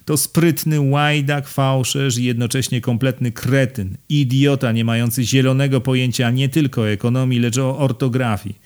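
A man's voice: mid-range voice, steady tone, moderate pace, hardly any pauses, darkish tone, natural voice. A man voicing a frail, furious aristocrat with a monocle in an audiobook, ranting scornfully with emphatic insults.

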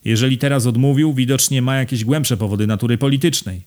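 A man's voice: medium pitch, fast talker, a little mellow, natural voice. A man voicing a solemn, pompous male governor in an audiobook, speaking sententiously and emphatically. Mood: emphatic. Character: pompous provincial governor, solemn admiring loyalist.

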